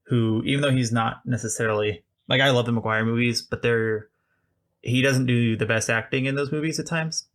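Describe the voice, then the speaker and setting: A man's voice: mid-range voice, fairly even intonation, normal speed, fairly bright tone. A man hosting a podcast, talking casually about films in a rambling, chatty way full of restarts. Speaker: podcast host. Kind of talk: casual film discussion.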